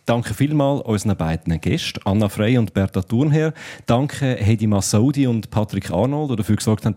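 A man with a Swiss German accent hosting a live talk, prompting his guests in a relaxed way. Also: mid-range voice. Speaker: host.

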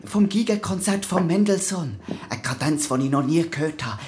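A woman's voice: low-pitched, lively intonation, moderate pace, noisy background. A performer, heard as a woman, playing an eager, insistent boy music pupil in a radio drama.

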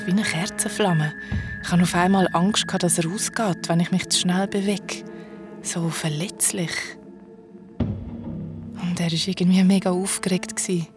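An actress playing a shaken young ghost woman with a Swiss accent, telling eerie memories in a colloquial, dramatic way in an audio drama. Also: fairly low pitch.

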